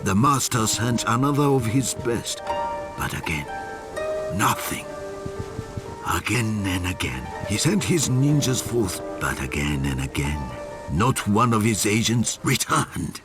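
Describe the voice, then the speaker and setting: An actor playing a solemn storyteller, narrating an ancient legend for a video game with theatrical, deliberate emphasis. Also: male voice, lowish voice, big pitch swings. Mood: grave. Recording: loud music behind the voice. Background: loud game music.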